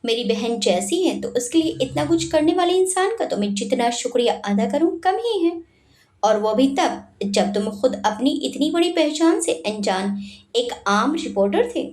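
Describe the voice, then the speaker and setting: A woman playing a famous male film star who acts like a protective older brother in a spoken storybook. He speaks fondly and familiarly, and his voice is high and animated. The recording has a roomy echo. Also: normal speed.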